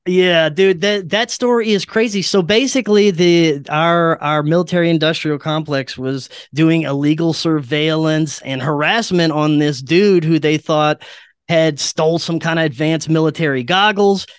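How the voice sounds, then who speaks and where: A man's voice: a little high, moderate pace, clean tone, no room sound, clean studio sound. A man talking casually and earnestly as a podcast guest, telling strange stories in an informal way. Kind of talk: casual conspiracy and paranormal talk.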